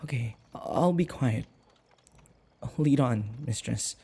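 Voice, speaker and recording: A male actor plays a submissive human servant in a vampire audio roleplay. His voice is mid-pitched and mellow, and he speaks slowly and hesitantly. He stammers nervously, sighing and muttering anxious asides as he addresses his mistress. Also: lively intonation, vocal fry, breathy edge, full character voice. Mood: flustered, anxious. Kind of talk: audio roleplay acting, spoken to the listener.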